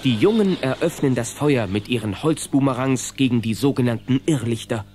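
A man's voice: mid-range voice, moderate pace, warm tone, a little hiss or hum, lightly acted. A man narrating a German children's adventure radio play as the storyteller. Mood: storytelling.

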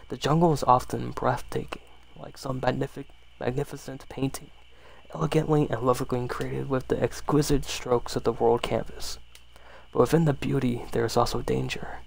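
A man's voice: mid-range voice, creaky, poor audio. A man reading a horror story aloud as an ASMR storyteller, sometimes stumbling and rereading phrases.

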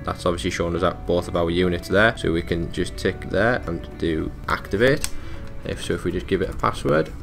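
Deep, gravelly-voiced man giving a tech tutorial. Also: brisk, few pauses, fairly bright tone, soft background music. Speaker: technical support tutorial presenter. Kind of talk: casual step-by-step software walkthrough.

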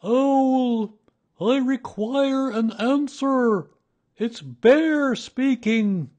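A man voicing a small, humble, slow-witted bear from a children's storybook, speaking simply and earnestly. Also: high voice, expressive, warm tone, pitched above the performer's own voice. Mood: loud, demanding.

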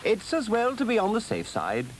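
A man voicing an anxious, fussy, busy male rat in an animal storybook, excitable and precise. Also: high voice, normal speed, noisy background, pitched above the performer's own voice.

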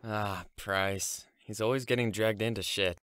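A man's voice: lowish voice, steady tone, a little slow, a bit bright, a little hiss or hum. A man acting a reserved teenage schoolboy in an audio drama, subdued and reflective. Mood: casual. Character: reserved teenage schoolboy who writes stories and keeps his distance.

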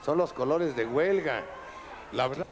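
A man's voice: on the high side, measured pace. A man hosting a TV variety show, interviewing guests on stage with effusive compliments. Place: TV studio stage with live audience. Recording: noisy old VHS tape with crowd.

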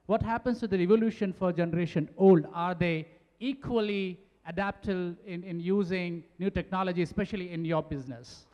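A man moderates a question session into a handheld mic. His voice sits high, and he hesitates as he reads each question, and the big hall gives him a long reverb. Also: brisk, darkish tone, lo-fi sound. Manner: hesitant, many "uh" fillers while reading questions. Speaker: moderator reading audience questions. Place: large conference hall stage, at podium.

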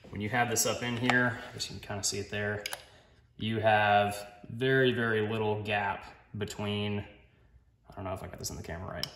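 A man's voice: mid-range voice, a bit bright. A man giving a casual hands-on tool review, explaining informally and thinking aloud as he goes, recorded at a garage workbench. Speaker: product reviewer, hobbyist car detailer. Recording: roomy echo, clear background noise, clicks.

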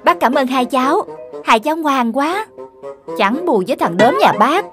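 Stern naggy cartoon dog mom, high voice, southern vietnamese accent, music in the back. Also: female voice, expressive, moderate pace, fairly bright tone. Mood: complaining. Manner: nagging, stern. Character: exasperated, scolding mother dog. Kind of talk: children's cartoon dubbing. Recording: music behind the voice.

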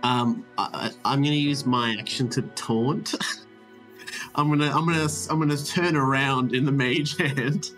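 A man plays a tabletop roleplaying game, talking casually through what his character does. His pitch is average and soft music sits behind him. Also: fairly even intonation, moderate pace.

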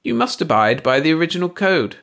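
A man's voice: a little high, big pitch swings, brisk, vocal fry, fairly bright tone, pitched above the performer's own voice, heavily acted. A man voicing a smug bald male alien who lectures his companion on the law with mocking precision. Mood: lecturing.

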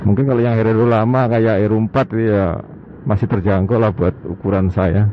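Man, lowish pitch, casual motovlog chat, halting delivery, clear traffic noise. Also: fairly even intonation. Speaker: motovlogger, host. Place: outdoors on a moving motorbike at night. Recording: muffled band-limited audio, loud road noise. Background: traffic and vehicle noise.

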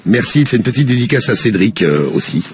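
Fast, husky-voiced radio host. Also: male voice, medium pitch, sing-song, a little mellow. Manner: joking, teasing, runs the show. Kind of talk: live call-in radio banter.

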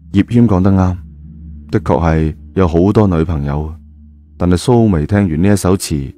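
A man's voice: low-pitched, dark tone. A man narrating an audiobook in a dramatic, colloquial storytelling style. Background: music.

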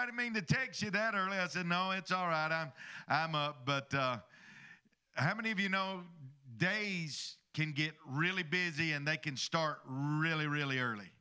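A middle-aged pastor preaches from a church stage, his voice pitched high and ringing with a very bright, edgy tone. He holds a handheld microphone and keeps drawing amens from the congregation. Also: clear, resonant tone. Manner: preaching with call-and-response asides to the congregation. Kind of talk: sermon.

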